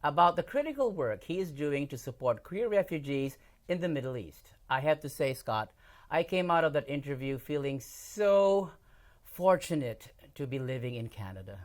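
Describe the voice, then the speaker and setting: A man's voice: a little high, big pitch swings, moderate pace. A man co-hosting a podcast, reading a prepared introduction earnestly. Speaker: podcast co-host. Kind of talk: scripted show introduction.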